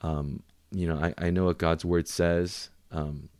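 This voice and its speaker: A man's voice: low voice, level tone, a little slow, darkish tone, clean tone, no room sound, clean studio sound. A man co-hosting a podcast, talking casually in informal, bro-ish slang. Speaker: podcast co-host.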